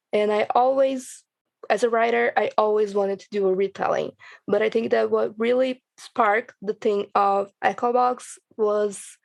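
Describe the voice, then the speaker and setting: A woman with noticeable vocal fry speaks as a writer on a casual panel. She is enthusiastic, and her voice is recorded dry, without room sound. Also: mid-range voice, a little mellow, no room sound. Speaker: podcast writer and creator, panel guest.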